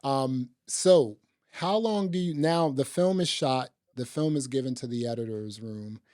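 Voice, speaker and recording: A man's voice: medium pitch, measured pace, darkish tone, no echo, studio quality. A man hosting a podcast, chatting casually about movies in a conversational way peppered with little asides. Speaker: podcast host. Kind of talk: casual movie review chat.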